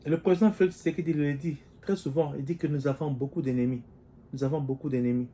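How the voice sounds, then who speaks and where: A man from DR Congo, giving solo political commentary in a measured way, thinking aloud and posing rhetorical questions. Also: on the high side, steady tone, warm tone. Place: living in the United States.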